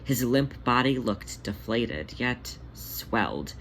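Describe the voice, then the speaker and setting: A man's voice: medium pitch, big pitch swings, takes it slowly, fairly bright tone, slight background noise, low-quality recording. A man hosting a fan fiction reading, narrating a scripted story aloud. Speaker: fan fiction author and podfic reader, host.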